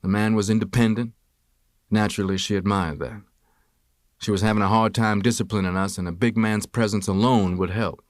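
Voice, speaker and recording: A man with a fairly low voice narrates a memoir in the first person, reading from a script as for an audiobook. The recording is dry and close, with very little room sound. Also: fairly even intonation, a little fast, dry recording. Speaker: audiobook reader.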